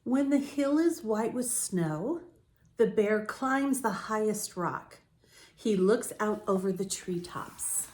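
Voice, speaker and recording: A woman reading a picture book aloud to young children as a storytime host, with deliberate, drawn-out phrasing. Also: medium pitch, expressive, a little mellow, a little hiss or hum.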